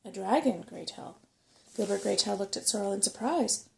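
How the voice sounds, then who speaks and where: Soft spoken lady doing asmr bedtime story narration, mellow, bit of fry, gentle n unhurried. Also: medium pitch, slight background noise, low-quality recording. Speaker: storyteller reading aloud.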